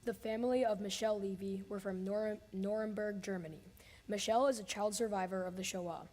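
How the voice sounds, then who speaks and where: A young woman solemnly reading a family's story and a list of names in a careful, steady recitation. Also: mid-range voice, a little fast. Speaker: student reader. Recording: long hall reverb.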